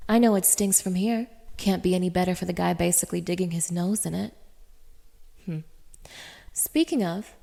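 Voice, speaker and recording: A woman's voice: medium pitch, vocal fry, warm tone. A woman playing a cruel, haughty young dominatrix in an audio roleplay, speaking straight to the listener with taunting, commanding contempt. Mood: contemptuous, commanding. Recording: reverberant, echoing room.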